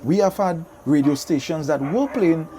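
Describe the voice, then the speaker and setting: A man's voice: fairly high pitch, normal speed, over a noisy crowd. A Trinidadian man in a casual interview as a soca artist, speaking emphatically and repeating his phrases.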